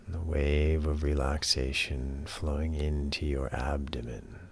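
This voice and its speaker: A man leading a guided relaxation as a yoga teacher, speaking in a calm, measured, soothing way with long pauses between phrases. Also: rumbling low, creaky, slightly rough.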